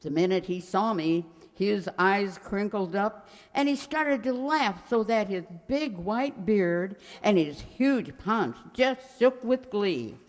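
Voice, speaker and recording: A woman's voice: medium pitch, lively intonation, takes it slowly, hardly any pauses. A woman playing a sweet, forgetful elderly landlady who dithers and rambles in a radio comedy. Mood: fondly reminiscing. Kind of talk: radio comedy acting, old-time sitcom revival. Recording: very reverberant hall sound.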